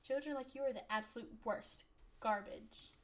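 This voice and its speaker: A woman's voice: fairly high pitch, moderate pace, close to an everyday voice. A woman voicing a furious, entitled mother who shrilly accuses and berates a young boy.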